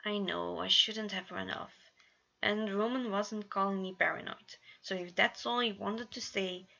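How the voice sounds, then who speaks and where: A woman's voice: mid-range voice, fairly even intonation, measured pace, creaky, bright, forceful tone, muffled recording. A woman voicing an anxious, self-blaming young man in a story reading, worried and defensive.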